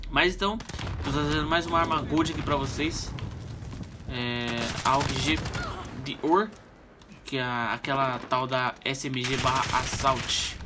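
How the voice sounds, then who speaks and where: Brazilian dude w a cold, nasal stuffy voice, slangy gameplay chatter, loud gunfire going off behind. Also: male voice, on the high side, very noisy. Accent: Brazilian Portuguese. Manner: relaxed slangy chatter, talks to viewers. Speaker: gaming channel host. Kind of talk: casual gameplay commentary. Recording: loud game gunfire over the voice.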